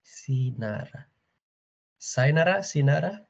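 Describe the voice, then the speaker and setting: A man with an Indonesian accent teaches a class of schoolchildren over a video call, upbeat and coaxing and full of ums and uhs. His voice sits at an ordinary pitch for a man. The video call audio is dull and lo-fi. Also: lively intonation, takes it slowly, creaky. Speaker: university language lecturer.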